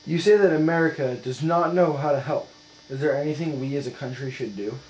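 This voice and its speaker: A man's voice: on the high side, brisk, darkish tone. A man working as an interviewer, putting scripted questions in a formal, polite manner. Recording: echoey room with mains hum.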